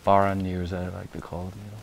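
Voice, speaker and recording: A man's voice: low-pitched, completely flat delivery, fast talker, creaky, a little hiss or hum. A middle-aged Irish man from Ireland, a bar owner chatting as a guest with an easygoing, dry-humoured manner. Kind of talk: casual chat.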